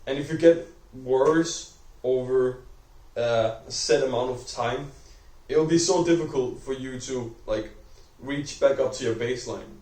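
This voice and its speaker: A man's voice: medium pitch, measured pace, a little mellow, recorded from a distance. Young man, Danish accent, rambling, lots of "like", roomy echo, mic set back. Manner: rambling, heavy use of "like", self-correcting. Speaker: vlogger. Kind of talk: unscripted talk to camera. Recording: echoey room, voice off-mic.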